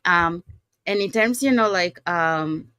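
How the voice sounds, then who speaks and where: A woman's voice: on the low side, takes it slowly, pushed and bright, clear, resonant tone, dry recording. A woman from Panama, a native Spanish speaker with a Panamanian accent, talking casually as the host of an interview. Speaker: show host.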